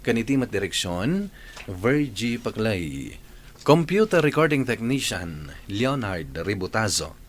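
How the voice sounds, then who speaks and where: A man with a Filipino accent hosting a radio drama, speaking in an expressive presenter style. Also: on the low side, measured pace, a little hiss or hum. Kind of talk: expressive radio advice and narration.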